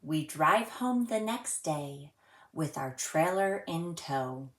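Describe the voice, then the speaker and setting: A woman reads a picture book aloud for young children. Her voice sits a little low and moves at a very slow, careful pace, with expressive storytelling delivery. The room adds a clear echo around her words. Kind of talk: children's read-aloud storytelling and friendly presenting to young viewers.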